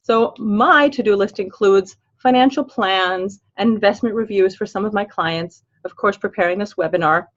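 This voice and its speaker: A woman's voice: medium pitch, normal speed, few pauses, highs cut off. An older woman with an American accent who grew up in Los Angeles, hosting a webinar as a financial planner in a chatty, upbeat way with everyday fillers. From Los Angeles, USA. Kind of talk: webinar presentation.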